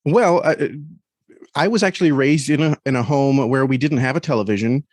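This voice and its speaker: A man's voice: mid-range voice, a little fast, darkish tone, no echo, clean studio sound. A man talking casually as an interview guest, thoughtful and often searching for words. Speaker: podcast guest.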